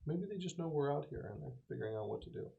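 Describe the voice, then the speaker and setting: A man's voice: fairly high pitch, expressive, very fast, vocal fry. A man playing a tabletop roleplaying game, talking in character as he polls the group, on a slightly dull recording.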